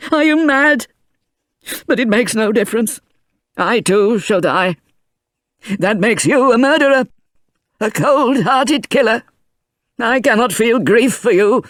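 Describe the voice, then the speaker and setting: A man reading an audiobook, voicing a frantic, grieving old grandfather in high, cracking outbursts. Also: big pitch swings, a little slow, no echo, studio quality. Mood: bitter.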